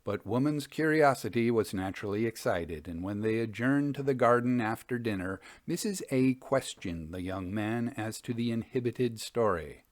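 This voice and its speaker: A man hosting and reading old ghost stories as scripted narration, in a measured storytelling manner. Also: medium pitch, no echo.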